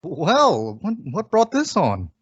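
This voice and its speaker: Flustered husband, played by an actor, lively pitch swings. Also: male voice, slow, slightly rough, exaggerated voice. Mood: bewildered. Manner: stammering, bewildered. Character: flustered, suspicious, good-natured husband coming home. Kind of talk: old-time radio comedy acting. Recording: dull, distant lo-fi recording.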